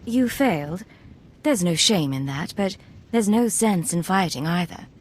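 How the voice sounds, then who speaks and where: A woman's voice: lowish voice, expressive, unhurried, slight background noise. A woman playing a cool, self-possessed Imperial agent in a video game, speaking directly. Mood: firm.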